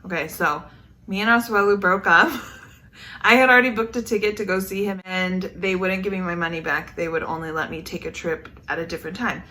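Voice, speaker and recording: Bright-voiced woman, playful vlog chat. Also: fairly low pitch, fairly even intonation, a little fast. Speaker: vlog co-host telling stories over photos. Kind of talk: casual storytelling chat with partner.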